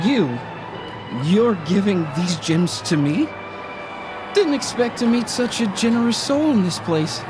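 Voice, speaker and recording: An actress playing a tipsy, wistful drunkard who fancies herself a poet in a video game, languid and woozy. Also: female voice, on the low side. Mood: surprised, resigned. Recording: background music under the voice.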